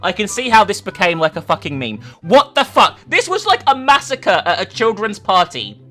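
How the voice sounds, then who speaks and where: Woman doing dramatic story reading, really animated, bright punchy voice, bit of music behind. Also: medium pitch, normal speed. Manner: theatrical, emphatic, sweary in asides. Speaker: video host reading a story aloud. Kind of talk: dramatic reading with casual commentary.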